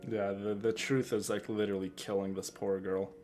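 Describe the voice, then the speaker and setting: Low-voiced man doing casual game commentary. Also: little pitch movement, normal speed, darkish tone, faint music behind. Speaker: gaming streamer.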